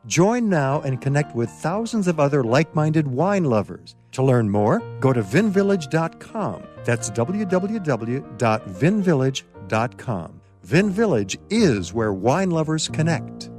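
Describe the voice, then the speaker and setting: A man's voice: a little high, big pitch swings, unhurried, few pauses, darkish tone, faint music behind. A man reading a conversational scripted commercial as an announcer. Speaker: advertisement announcer.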